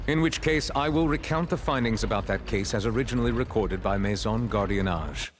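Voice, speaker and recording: An actor playing a stern, formal judge in a video game, speaking in a measured, authoritative manner. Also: male voice, lowish voice, noisy background, natural voice. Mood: solemn, measured. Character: stern, formal male judge presiding over a court. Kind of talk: scripted game dialogue.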